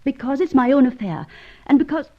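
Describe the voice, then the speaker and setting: A woman's voice: high voice, expressive, fairly quick, lo-fi sound. An actress playing a cool, haughty, guarded woman in a radio drama, speaking formally and precisely. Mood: cold, guarded. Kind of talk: old-time radio drama acting.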